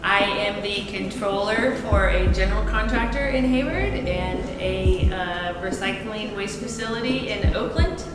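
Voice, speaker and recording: A woman in her fifties, a gym member answering interview questions slowly, with many pauses and fillers. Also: medium pitch. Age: 50s. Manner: unhurried, hesitant with many fillers. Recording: echoey hall with music behind.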